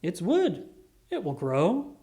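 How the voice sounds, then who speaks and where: A storybook reader voicing a bossy, self-assured young girl in a loud, insistent way. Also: mid-range voice, huge pitch swings, takes it slowly.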